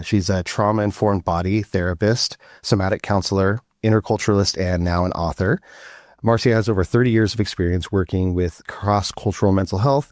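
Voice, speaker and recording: A man's voice: deep voice, darkish tone, no room sound, clean studio sound. A man hosting an interview podcast, introducing his guest and asking questions in a friendly, easygoing way. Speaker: podcast host.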